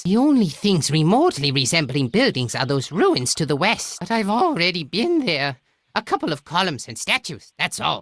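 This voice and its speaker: A performer voicing an excitable, self-important little male messenger creature in a video game, with a dramatic manner. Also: mid-range voice, dry recording, clean studio sound.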